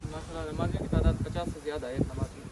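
A man's voice: on the high side, fairly even intonation, racing. A local man being interviewed, making a plain, repetitive, unscripted request for help. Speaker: local worshipper interviewed for the appeal. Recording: noisy field recording with vehicle sounds.

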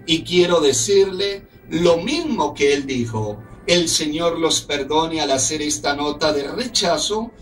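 A man's voice: a little high, lively intonation, unhurried, hardly any pauses, far from the mic. A middle-aged man from Colombia hosting a radio talk show, reading a letter aloud in an earnest, indignant tone from a small office studio. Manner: earnest, indignant, speaks as a compatriot. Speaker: radio host.

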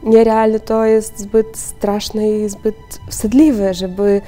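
A woman in her twenties from Russia answering interview questions in Polish, slow and measured. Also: mid-range voice, level tone, warm tone, faint music behind. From Kaliningrad, Russia. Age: 20s.

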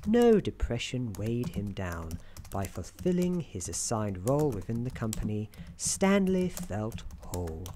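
A soft-spoken ASMR narrator of unclear sex telling a story in a gentle, deliberate, soothing way. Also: medium pitch, big pitch swings. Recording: keyboard clicks and noise behind. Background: computer keyboard typing.

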